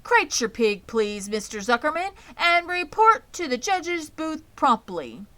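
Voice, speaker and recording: A woman's voice: high-pitched, very animated, unhurried, pushed and bright, pitched above the performer's own voice, heavily acted. A woman voicing a formal, emphatic fairground announcer in a storybook reading. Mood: formal announcing.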